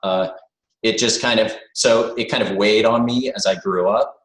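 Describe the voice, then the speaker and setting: Low-voiced man on a call, pausing and searching for words, heard in a roomy space. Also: steady tone. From born in the United States, raised abroad. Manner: hesitant, thoughtful, many "you know" fillers. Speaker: interview guest. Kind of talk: reflective conversational interview.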